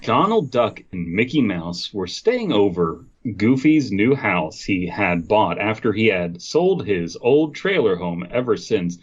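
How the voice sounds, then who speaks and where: A man's voice: fairly low pitch, big pitch swings, unhurried, hardly any pauses, slightly rough, highs cut off. A man hosting a comedy podcast, reading a story aloud with casual joking asides.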